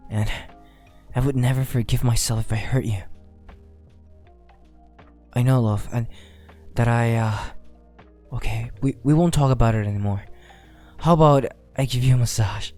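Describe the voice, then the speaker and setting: A man playing a shy, affectionate werewolf boyfriend in a soft, hesitant romantic ASMR roleplay. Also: mid-range voice, moderate pace, long pauses, faint music behind, close to an everyday voice. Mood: tender, worried. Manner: hesitant, affectionate, soft-spoken, often chuckling. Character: shy, tender male werewolf boyfriend, young adult.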